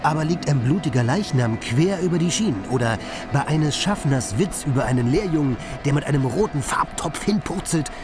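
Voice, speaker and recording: A whimsical man about town acted by a man talks in florid, playful phrases. His voice is fairly high for a man, and music plays clearly behind this radio drama dialogue. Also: lively intonation, a little fast, natural voice. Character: talkative, whimsical literary male city flâneur.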